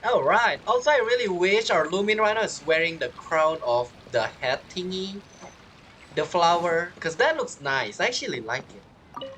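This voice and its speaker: Lively low-voiced woman gamer. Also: moderate pace, slight background noise, rough recording. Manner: chatty, playful. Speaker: gameplay streamer. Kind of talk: casual game commentary.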